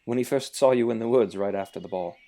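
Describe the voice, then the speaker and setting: A man playing a loyal, calm royal attendant in an amateur fairytale audio drama, speaking in a measured, reassuring way. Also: mid-range voice, natural voice.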